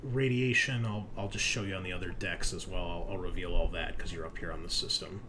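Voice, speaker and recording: Low-voiced man running a tabletop game, noisy audio. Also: steady tone, brisk. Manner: informal, joking, swears. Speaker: game master. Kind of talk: casual narrating of an online tabletop roleplaying game.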